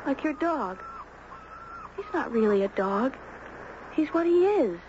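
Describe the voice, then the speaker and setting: A woman playing an earnest, insistent young girl with a blunt, childlike manner in a 1970s radio drama. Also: high voice. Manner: blunt, thoughtful, childlike directness. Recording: dull, noisy old radio broadcast.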